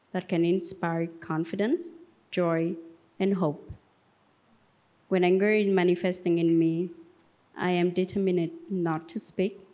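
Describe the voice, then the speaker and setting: A woman's voice: fairly low pitch, warm tone. A woman, speaking to a Buddhist community gathering. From Vietnam, later France. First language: Vietnamese. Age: 30s. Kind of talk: reading aloud and personal sharing.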